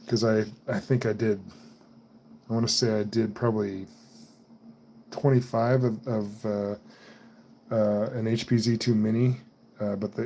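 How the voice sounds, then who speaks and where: A man's voice: fairly low pitch, a little mellow, a little hiss or hum. Chatty man building a computer. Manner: chatty, laughs often, talks while handling parts. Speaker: livestream host building computers. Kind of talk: casual chat while working.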